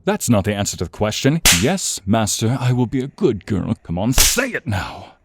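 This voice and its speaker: A man voicing a seductive, domineering vampire in an ASMR roleplay, teasing and commanding in a breathy voice that often drops to a whisper. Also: on the low side, big pitch swings, normal speed, noisy background. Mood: stern, commanding. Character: sinister, seductive male vampire, domineering and predatory master. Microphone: close, dry studio microphone.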